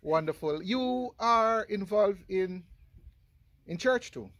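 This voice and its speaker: A man with a Jamaican accent and a lisp, hosting a casual interview in an animated way, often laughing. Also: high-pitched, slow pace. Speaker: interviewer, show host.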